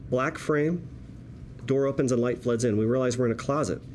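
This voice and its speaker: A man's voice: mid-range voice, fairly quick, a little mellow. A man reading screenplay directions aloud as narration at a table read. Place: room with a group at a table read. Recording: noisy room ambience.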